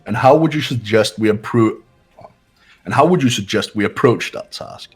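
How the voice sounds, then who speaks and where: A man voicing a haughty, disdainful young rich student with a husky, pompous delivery in a fan-voiced visual novel game. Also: medium pitch, moderate pace, soft background music, close to an everyday voice. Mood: skeptical. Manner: pompous, condescending.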